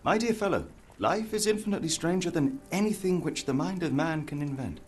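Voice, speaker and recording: A man's voice: fairly high pitch, expressive, a little fast, natural voice. A man playing a brilliant, sardonic British detective in a video game, speaking in a precise, pompous manner. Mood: impatient. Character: brilliant, haughty young male detective, impatient and sardonic. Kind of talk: video game cutscene acting.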